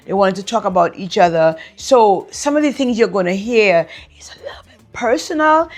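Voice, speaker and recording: A woman in her fifties hosting her own vlog, chatting casually and talking straight to her viewers. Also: mid-range voice. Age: 50s. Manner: chatty, addresses viewers directly. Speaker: vlog host.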